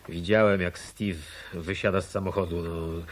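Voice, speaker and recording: An actor playing a bitter, guilt-laden hotel auditor confessing in a radio play. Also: male voice, low-pitched, fairly even intonation, normal speed. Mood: resigned, confessing.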